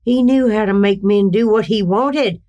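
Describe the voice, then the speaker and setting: A woman's voice: medium pitch, moderate pace, warm tone, natural voice. A woman playing a sly, folksy older outlaw leader who poses as a churchgoer in an old-time western radio drama. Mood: bitter defiance. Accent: Irish brogue, later Texan. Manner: indignant, theatrical, folksy.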